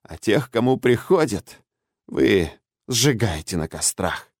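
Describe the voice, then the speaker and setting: A man voicing a defiant old imprisoned witch in an audiobook, hoarse and croaking, with a caustic, mocking, argumentative way of speaking. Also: fairly low pitch, sing-song, slow pace, a little mellow, no echo, studio quality, exaggerated voice. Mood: scornful, mocking. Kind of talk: audiobook character acting.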